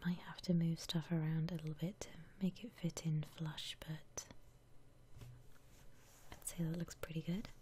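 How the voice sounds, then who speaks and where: A woman whispering softly and breathily in a gentle, musing way as she gives ASMR commentary on a game. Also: deep voice, flat delivery, slight background noise. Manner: gentle, unhurried, musing aloud. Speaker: ASMR streamer playing a house-decorating game.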